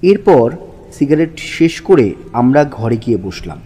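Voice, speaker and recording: A man narrating a scripted audio story in a husky voice. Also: medium pitch, sing-song, a little slow, warm tone, soft background music.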